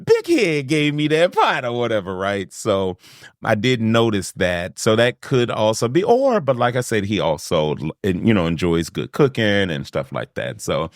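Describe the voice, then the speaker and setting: A man's voice: on the low side, huge pitch swings, normal speed, dry recording, studio quality. A man hosting a podcast, chatting casually and animatedly, laughing often and acting out quotes. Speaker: podcast host. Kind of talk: casual chat.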